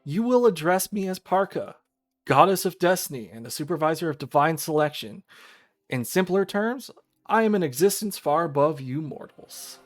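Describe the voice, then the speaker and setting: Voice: medium pitch, animated, normal speed, natural voice. A streamer voicing a haughty, elegant young goddess of destiny in a visual novel, speaking formally and imperiously.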